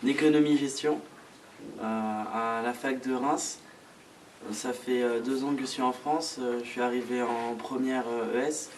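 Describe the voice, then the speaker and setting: A young man, a student answering informally in an interview, hesitant and pausing often. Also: mid-range voice. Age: young adult. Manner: hesitant, frequent pauses. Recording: distant mic, faint background noise.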